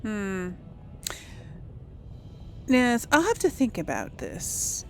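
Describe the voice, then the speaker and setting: A woman's voice: mid-range voice, wildly swooping pitch, very slow, creaky, soft background music. A woman doing casual, musing game commentary, thinking aloud as she plays and role-plays. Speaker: gamer host of a role-play let's play.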